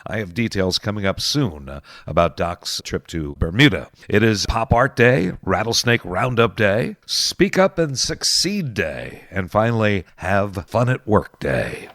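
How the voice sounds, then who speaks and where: A man's voice: on the low side, very animated, unhurried, hardly any pauses, a bit bright, no echo. A man hosting an oldies radio show with casual, folksy DJ patter and conversational asides in a studio. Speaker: radio host. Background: music.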